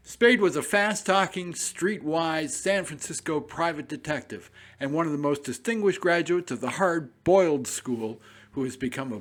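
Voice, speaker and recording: A man narrates from a script in a middle-pitched voice. He presents like a documentary host introducing a program. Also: fairly bright tone.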